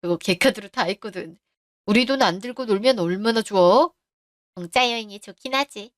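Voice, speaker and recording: A woman's voice: medium pitch, moderate pace, dry recording, lightly acted. Woman playing a smug cheating husband in a radio drama, brushes everyone off, dry close sound. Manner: dismissive, brushes off worries. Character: scheming middle-aged husband and shop owner.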